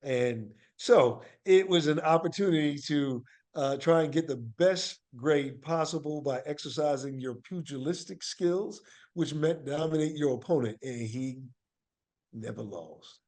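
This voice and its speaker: An American man with a fairly high voice talks as an interview guest. He tells his stories conversationally and with animation, leaning on key points and checking that he is being followed. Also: a little slow, darkish tone. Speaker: guest, actor and playwright.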